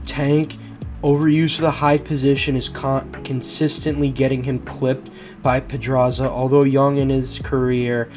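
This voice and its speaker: A man's voice: medium pitch, level tone, a little slow, over music. A man with a bad cold, sounding congested, casually narrating a boxing fight breakdown as host of his channel, with slangy asides and loose restarts. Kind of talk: voice-over fight breakdown commentary.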